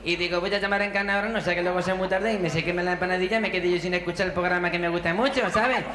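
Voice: medium pitch, fairly even intonation, racing, lightly acted. A performer playing a middle-aged woman phoning in who rambles and repeats herself in muddled loops. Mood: rambling. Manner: rambling, repeats and mixes up her own words. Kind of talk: comedy sketch phone call. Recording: echoey and noisy.

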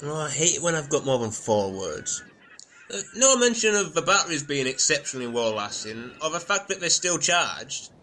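A man's voice: on the high side, sing-song, normal speed, bright, forceful tone, slight background noise. A man in comic banter, sarcastic and sweary, prone to ranting. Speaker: comedy podcast cast member.

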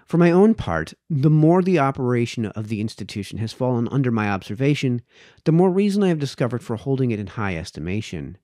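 A man reads a formal old essay aloud with a soft, relaxed tone. His pitch rises and falls a lot over long, measured sentences, and he sometimes trips on a word. He is close to the mic in a dry indoor room. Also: no echo. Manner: careful, measured, stumbles over words and rereads them. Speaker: reader, host of a read-aloud series. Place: small indoor home study. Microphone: close microphone on a boom arm.

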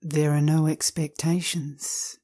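A woman leads a guided meditation, reading short phrases in a calm, measured manner with long pauses. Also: low voice.

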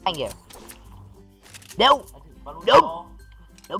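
A man from Vietnam with a Vietnamese accent, talking bluntly in halting, repetitive English as a teammate in a game's voice chat. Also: sits high, big pitch swings, gravelly, over loud music. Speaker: squad teammate in online game. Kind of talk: casual voice chat. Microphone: gaming voice chat.

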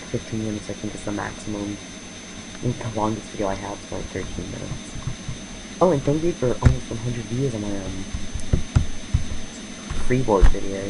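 A man's voice: on the low side, heavy background noise. A man casually commentating his own gameplay, exclaiming at crashes and making self-mocking asides. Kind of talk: casual let's-play commentary.

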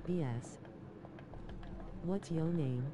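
A woman's voice: deep voice, very slow. A woman talking casually over a noisy, distant game voice chat.

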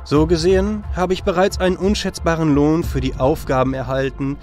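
Man playing an earnest, higher-voiced warrior hero. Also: steady tone, a little mellow, soft background music, close to an everyday voice. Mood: solemn, reflective. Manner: earnest, solemn, measured. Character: noble, repentant warrior hero, son of war god. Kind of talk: fantasy audio drama.

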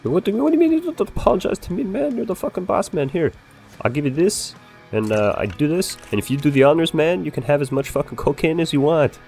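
A man improvising in-character game roleplay, swearing and ranting in an excitable way. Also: fairly high pitch, fast talker, gravelly, soft background music. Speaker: roleplayer playing a bar owner.

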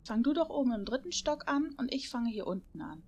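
A woman's voice: on the high side, very animated, a little fast, darkish tone, highs cut off, a little hiss or hum. A woman playing a kindly, regretful shelter manager in a parody audio drama.

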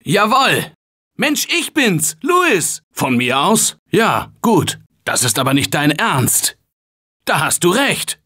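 A man voicing an earnest, panicky office guy surviving a zombie apocalypse in a video game, husky and emphatic in colloquial short barks. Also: fairly high pitch, sing-song, takes it slowly, pushed and bright, heavily acted. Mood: casual.

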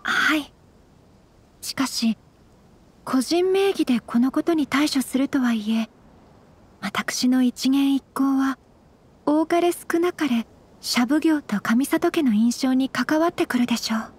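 An actress playing a dignified young noblewoman in a Japanese game dub, formal, courteous and composed, gentle but firm. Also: sits high, measured pace, pauses often. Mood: calm, earnest. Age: young adult.

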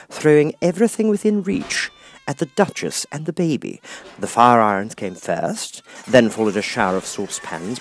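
A man narrates a storybook in an expressive audiobook style. His medium-pitched voice moves widely up and down, giving the scripted reading a lively, animated shape.

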